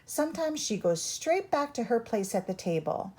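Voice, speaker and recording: A woman reading a children's picture book aloud as a storyteller, with deliberate, instructive read-along phrasing for young listeners. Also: fairly high pitch, sing-song, warm tone.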